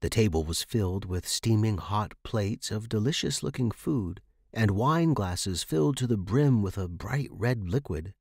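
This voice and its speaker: Animated male storyteller, dry close sound. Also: lowish voice, unhurried, breathy edge, no echo. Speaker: fiction podcast narrator. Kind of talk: scripted story narration with character voices.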